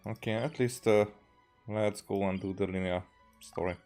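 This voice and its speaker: A man from Hungary, a native Hungarian speaker, giving casual, halting gameplay commentary with muttered repeats. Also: lowish voice, steady tone, darkish tone. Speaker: game streamer. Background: game music.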